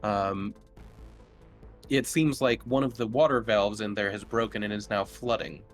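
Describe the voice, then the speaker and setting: A man narrating as game master of a tabletop roleplaying game, improvising descriptions in a halting way. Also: on the low side, steady tone. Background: music.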